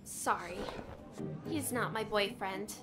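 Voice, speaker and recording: A bashful anime schoolgirl played by a woman speaks very slowly and softly. She is sweet and hesitant, with music clearly playing behind her. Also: mid-range voice, voice pushed down, natural voice. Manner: hesitant, soft, flustered. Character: shy, sweet lovestruck schoolgirl, anime style.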